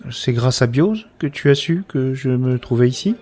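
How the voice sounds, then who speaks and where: A man's voice: mid-range voice, expressive, normal speed, a little mellow, close to an everyday voice. A man playing a sceptical, passionate man in a French science fiction audio drama, articulate and argumentative. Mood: irritated. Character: Abel, sceptical, passionate man challenging a close companion.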